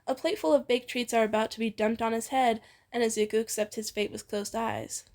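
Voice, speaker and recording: A woman's voice: a little high, steady tone, fairly quick, a little mellow. A woman co-narrating fan fiction in an informal, playful storytelling read. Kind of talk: expressive fan fiction reading.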